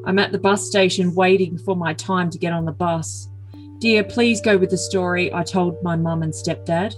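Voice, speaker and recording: A woman's voice: on the low side, fairly even intonation, normal speed, lightly acted. A woman voicing an earnest, besotted young man with a solemn, stilted manner in an overly dramatic comic message reading. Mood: earnest, firm. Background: music.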